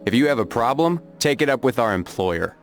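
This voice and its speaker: An actor playing a surly hired soldier in a video game, gruff, curt and dismissive. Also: male voice, mid-range voice, big pitch swings, fairly quick. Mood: scornful. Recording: faint music under the voice.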